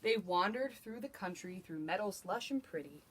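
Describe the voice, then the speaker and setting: Female teacher narrator: children's storybook read-aloud, rhythmic, expressive, dramatic emphasis. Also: mid-range voice, normal speed, slight background noise, low-quality recording.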